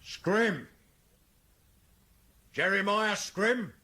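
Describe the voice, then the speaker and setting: An actor playing a gruff, bullying police sergeant in a radio drama, blunt and brusque. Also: male voice, high voice, very slow, pushed and bright, exaggerated voice.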